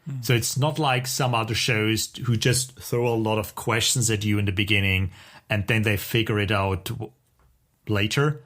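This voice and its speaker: A man co-hosting a podcast in casual chat, talking in a halting way as he thinks aloud. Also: fairly low pitch, steady tone, a bit bright. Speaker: podcast co-host.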